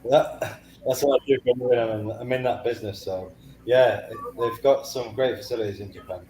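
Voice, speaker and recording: A man's voice: medium pitch, steady tone, fairly quick, warm tone. A man with a Manchester accent, calling into a livestream panel, chatting in an easygoing way. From England. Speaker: caller on a panel. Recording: echoey room with faint background noise.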